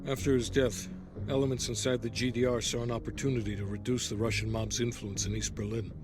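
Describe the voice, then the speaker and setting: A man's voice: medium pitch, little pitch movement, fast, close to an everyday voice. Serious intelligence officer, male actor, mid-range, matter-of-fact and composed, briefing in a game cutscene with score behind. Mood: sober. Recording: cinematic music behind.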